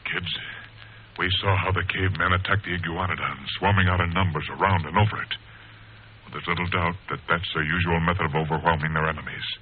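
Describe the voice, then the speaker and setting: An actor playing a grave, decisive captain leading a young squadron in a 1940s radio adventure serial. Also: male voice, low voice, steady tone, fast, close to an everyday voice. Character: steady heroic captain commanding his young squadron. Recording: tinny, hissy old radio broadcast.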